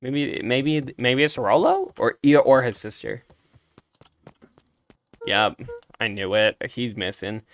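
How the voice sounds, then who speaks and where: A man's voice: medium pitch, big pitch swings, slow pace, pauses often. A man doing casual, chatty game commentary, reacting aloud as he plays. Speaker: let's play streamer.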